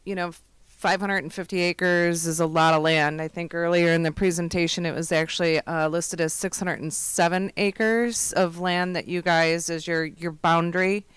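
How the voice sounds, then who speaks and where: A woman giving thanks at a public meeting, talking her points through out loud. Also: lowish voice, fairly even intonation, moderate pace, smooth, dry recording. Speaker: local official thanking presenters. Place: township hall meeting room.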